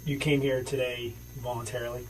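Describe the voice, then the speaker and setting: A man's voice: medium pitch, level tone, a little fast, a little mellow. A male police detective asking procedural interview questions in a methodical, hesitant way. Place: indoor police interview room. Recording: noisy distant interrogation room recording.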